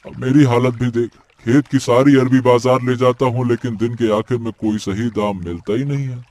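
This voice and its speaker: A man playing a struggling farmer in a cartoon moral story, a supportive friend with a measured, reassuring manner. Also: mid-range voice, steady tone, warm tone, close to an everyday voice. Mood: discouraged, reflective. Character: struggling taro farmer Mohan, supportive, reflective childhood friend. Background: faint music.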